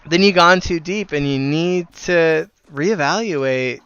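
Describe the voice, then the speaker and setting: A man's voice: fairly high pitch, slow pace, fairly bright tone, smooth, no room sound, dull audio. A man who leads a gaming group, talking casually while streaming a game, earnest and rambling, with some casual swearing.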